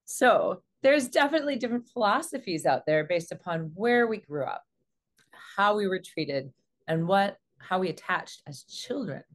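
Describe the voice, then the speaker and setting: A woman in her forties speaking as a relationship coach, explaining things to camera in an earnest, measured way. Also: medium pitch, expressive. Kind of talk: explanatory advice talk to camera. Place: small indoor room.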